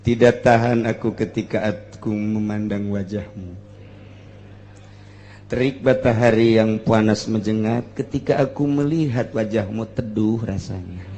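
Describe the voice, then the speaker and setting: A man's voice: on the low side, fairly even intonation, measured pace, mellow, a little hiss or hum. A man with a Sundanese accent giving a humorous storytelling sermon as an Islamic preacher, moving between theatrical mock-romantic recitation and colloquial asides. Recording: echoey hall with long reverb.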